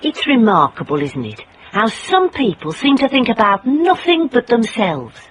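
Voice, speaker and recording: A shrewd woman investigator from a radio drama, with a highish, very mobile voice. She is controlled, wry and steely, recorded over faint noise. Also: big pitch swings, moderate pace. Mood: wry, reflective. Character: shrewd, steely female private investigator, quietly morally indignant. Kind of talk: radio drama acting and first-person narration.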